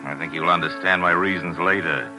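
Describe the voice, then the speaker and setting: An actor playing a measured, knowing first-person narrator in a 1940s radio drama. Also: male voice, low voice, fairly even intonation, close to an everyday voice, low-quality recording. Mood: measured, wry. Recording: old 1940s radio recording, music behind.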